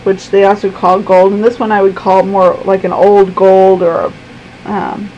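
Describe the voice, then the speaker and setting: A woman chatting casually to camera in her room, her voice going hoarse, with plenty of ums. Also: medium pitch, level tone, moderate pace, warm tone, muffled recording, slight background noise. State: losing her voice. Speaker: vlogger. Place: her own room at home.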